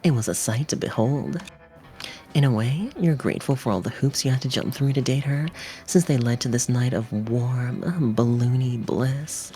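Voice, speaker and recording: A woman's voice: rumbling low, moderate pace, warm tone, soft background music. A woman streamer reading out a game's narration on a livestream. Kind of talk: voicing and narrating a game on a livestream.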